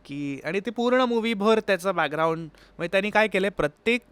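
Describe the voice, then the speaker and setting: A man's voice: high-pitched, quick pace, a bit bright, smooth, dry recording. A man, an interview guest explaining his experiences conversationally and mixing in English words. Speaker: interview guest, sitar player.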